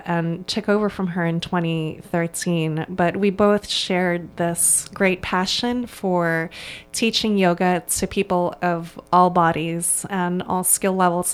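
A woman's voice: fairly low pitch, steady tone, a little slow, smooth. A woman in her thirties from Hong Kong, a yoga studio owner answering interview questions with eager, earnest enthusiasm and a few ums and restarts.

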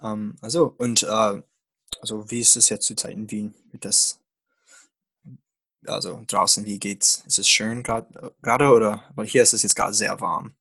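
A man works as an interviewer in a language that is not his first. His voice is husky with a lot of creak, and he phrases things haltingly and casually. The sound is close and dry. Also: on the low side, flat delivery, a bit bright, no echo, poor audio. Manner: halting, non-native German with grammar slips.

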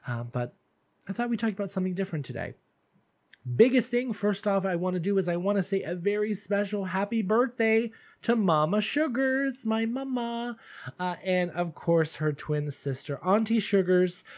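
A man's voice: sits high, normal speed. A man hosting a casual solo podcast, chatty and gushing, calling his listeners darlings, a little stuffy from allergies. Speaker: podcast host.

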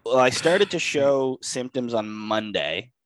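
A man's voice: medium pitch, expressive, measured pace, a little hiss or hum. A man co-hosting a podcast, talking in a casual chat, exasperated and ranting, with a stuffy head cold. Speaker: podcast co-host.

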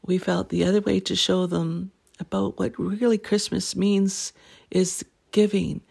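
Canadian lady podcast host telling family stories, kinda hoarse, jokey, losing her voice. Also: mid-range voice, flat delivery, a little slow, a little mellow. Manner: laughing, self-deprecating jokes. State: losing her voice, throat-clearing. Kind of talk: casual storytelling chat.

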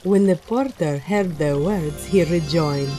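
A woman tells a scripted tale in formal, literary style. Her voice sits a little low, and music plays clearly behind it. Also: a little slow. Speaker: narrator. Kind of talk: scripted storytelling narration.

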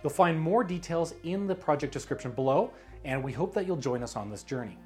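A man reading a scripted promotional pitch as a creative director, with dramatic storyteller-like emphasis. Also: lively intonation, fairly quick, darkish tone, faint music behind.

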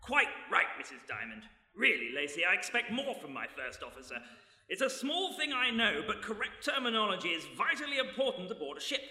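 High, very bright blustering ship's captain. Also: male voice, very animated, brisk, full character voice. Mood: fussy reproach. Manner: hesitant, stammering, fussy, chuckling bluster. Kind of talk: comic radio play acting. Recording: echoey hall, live stage recording.

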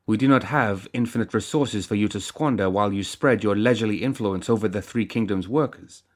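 Audiobook syndicate mistress, read by a man: low, measured, formal, stern with compassion underneath, used to command. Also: close to an everyday voice. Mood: cool, impatient. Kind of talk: audiobook character acting.

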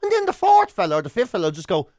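A man's voice: high voice, huge pitch swings, racing, darkish tone, no room sound, studio quality. A man with an Irish accent co-hosting a radio show in bantering chat, telling stories with teasing comic exaggeration. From Ireland.

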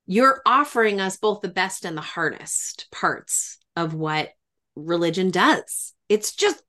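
A woman's voice: fairly low pitch, animated, takes it slowly, bright, forceful tone. A woman hosting a reflective interview, speaking in an effusive, emphatic way. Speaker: interviewer, podcast host.

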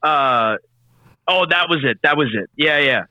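A man with a forceful, bright voice a little on the high side chats casually as a panelist. His recording is dry and somewhat dull and band-limited. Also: lively intonation, unhurried. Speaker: podcast panelist. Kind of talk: casual chat about comic books.

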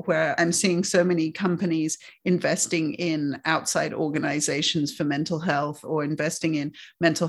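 Reflective podcast host with a gravelly voice. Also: medium pitch. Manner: thoughtful, reflective, long-winded questions. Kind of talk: podcast interview questions.

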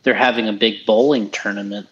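A man's voice: lowish voice, steady tone, brisk, muffled recording, a little hiss or hum. Laid-back guy on a podcast, rambly, keeps restarting himself. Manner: rambling, restarts often, easygoing. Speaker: podcast co-host. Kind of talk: casual chat, race commentary.

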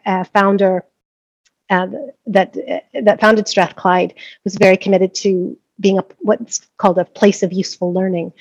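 A woman's voice: mid-range voice, darkish tone, dry recording, muffled recording. A middle-aged woman from Canada, a professor of practice being interviewed, talking thoughtfully with many restarts and fillers. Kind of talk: conversational interview.

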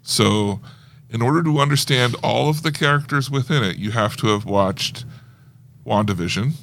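A man co-hosting a casual podcast discussion of films, talking in an animated, conversational way. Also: medium pitch, moderate pace, vocal fry. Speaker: podcast co-host. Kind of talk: casual movie trailer discussion.

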